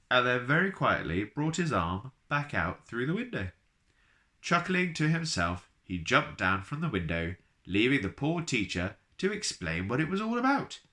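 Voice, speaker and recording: A man reads a children's story with lots of melodic rise and fall. His voice sits a little low yet sounds bright and forward. He is playful and expressive, slipping into chatty remarks addressed to his listeners. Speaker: storyteller reading a children's book aloud.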